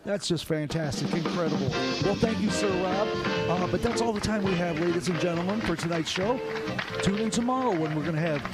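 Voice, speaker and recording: A man plays an effusive talk show host in a radio comedy. He speaks in a high male voice with showy, gushing delivery, over loud music. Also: brisk, close to an everyday voice. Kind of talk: parody talk show hosting.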